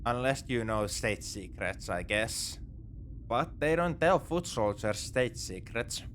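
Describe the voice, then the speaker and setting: A man's voice: medium pitch, slow pace, a bit bright, slight background noise. A man playing a wry, morally grey space pirate in a sci-fi ASMR roleplay, with a conversational, nonchalant, dryly teasing delivery. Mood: wry, matter-of-fact. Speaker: audio roleplay performer.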